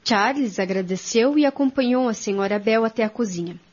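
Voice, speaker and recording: A woman's voice: on the high side, a little fast, darkish tone. A woman reading scripted dramatic narration for a radio drama. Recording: dull, lo-fi recording.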